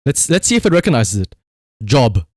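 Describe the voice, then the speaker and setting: A man with a South African accent commentating on a live gaming stream and calling out voice commands in an excitable way. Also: mid-range voice, a little fast, no room sound, studio quality. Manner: animated, excitable, exclamatory. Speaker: livestreamer.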